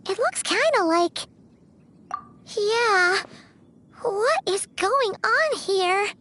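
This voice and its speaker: A woman voicing a small, chatty, easily spooked fairy-like girl companion in a video game, with a childlike squeaky voice. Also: animated, very slow, sharp tone, slight background noise, heavily acted. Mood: curious, uneasy. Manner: refers to self in third person, exclamatory. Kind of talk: animated video game dialogue. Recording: music behind the voice.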